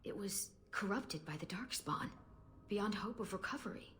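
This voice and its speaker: A woman's voice: medium pitch, animated, normal speed, a bit bright, a little hiss or hum, lightly acted. A woman playing a young Dalish elf hunter in a video game, playful and direct as well as earnest. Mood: somber.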